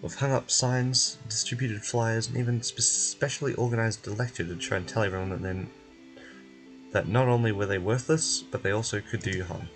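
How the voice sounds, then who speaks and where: A man's voice: on the low side, steady tone, a little fast, faint music behind, close to an everyday voice, low-quality recording. A man voicing a stern, meticulous research scientist in a game, speaking in a formal, blunt, exasperated way. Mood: exasperated lecturing.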